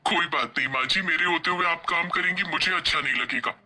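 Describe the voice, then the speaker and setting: A man's voice: fairly high pitch, brisk, sharp tone. A man voicing a humble, hardworking young village woman in a moral story, respectful, earnest and pleading. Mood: caring. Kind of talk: Hindi moral story character voice.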